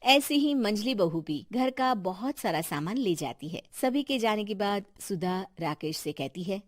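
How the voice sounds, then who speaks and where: A woman's voice: medium pitch, animated, unhurried, clean studio sound. Woman reading out a scripted story as narrator, no room echo.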